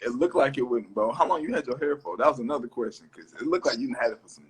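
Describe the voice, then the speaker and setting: A man hosting an interview, asking questions in a quick, joking, teasing way. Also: mid-range voice, slight background noise. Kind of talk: casual interview chat.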